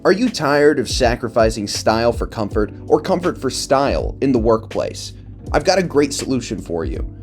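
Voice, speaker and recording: Man, promotional read, lively melodic delivery, scripted, faint guitar music behind. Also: medium pitch, normal speed. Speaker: advertisement announcer.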